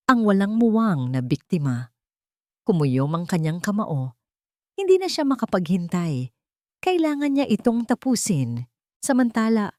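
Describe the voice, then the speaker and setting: A woman's voice: sits low, dark tone, dry recording, studio quality. A woman with a Filipino accent reading a dramatic scripted story as narrator.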